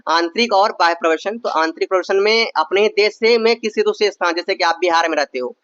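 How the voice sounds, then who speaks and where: Young male exam tutor from Bihar; high voice, conversational explainer; close, dead room, muffled lo-fi sound. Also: a little fast, a bit bright, clean tone, no echo. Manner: explains in casual asides, often asks "understand?". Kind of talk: exam-prep lesson, reading answers and explaining.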